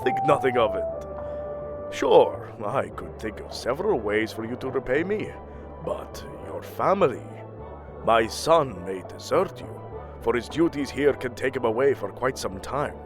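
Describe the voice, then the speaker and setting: A man's voice: mid-range voice, animated, measured pace, lightly acted. An actor playing a proud, aging king of the Norse gods in a fantasy audio drama, grandiose and commanding. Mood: magnanimous. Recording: music under the voice.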